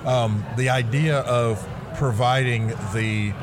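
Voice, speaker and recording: A man co-hosting an interview, building long points in deliberate, halting phrases. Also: medium pitch, steady tone, background noise. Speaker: podcast co-host. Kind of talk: conversational interview.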